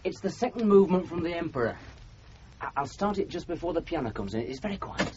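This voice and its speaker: An actor playing a bookish, devoted young bridegroom with a Northern English accent in a radio drama, hesitant, stammering and apologetic. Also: male voice, fairly high pitch, very animated, fast talker, background noise. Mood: nervous. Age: young adult. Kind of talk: 1970s radio drama acting. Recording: muffled 1970s radio broadcast, music behind.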